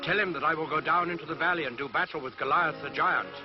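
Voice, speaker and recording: A man's voice: fairly high pitch, fast, natural voice. A man voicing a brave, thoughtful, devout shepherd boy in a storybook tale, speaking earnestly and resolutely. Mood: earnest, determined. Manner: earnest, calm, resolute declaration. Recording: dull lo-fi recording, loud music behind.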